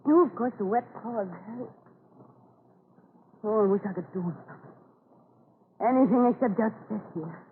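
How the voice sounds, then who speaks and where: A woman's voice: a little high, normal speed, long pauses, natural voice. A woman plays a worn, worried farmer's wife with a plaintive delivery. It is vintage radio acting, heard through a narrow band with faint hiss. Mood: weary, anxious. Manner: plaintive, anxious. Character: worried, weary farm wife.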